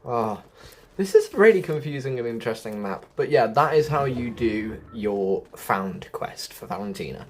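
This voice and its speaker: A man's voice: mid-range voice, animated, moderate pace, a little hiss or hum. A man giving a casual, chatty walkthrough of a video game, often thinking aloud as he guides viewers. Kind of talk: casual gameplay walkthrough commentary.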